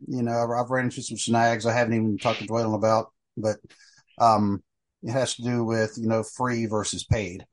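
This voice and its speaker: A man's voice: on the low side, little pitch movement, moderate pace, rough recording. Rambling middle-aged man hosting a podcast. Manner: rambling, many fillers and restarts. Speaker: podcast host. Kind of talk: casual chat.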